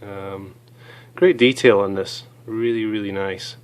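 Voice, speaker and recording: A hoarse man with a cold, chatting casually as he reviews small gear items, with many fillers. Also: lowish voice, fairly even intonation, measured pace.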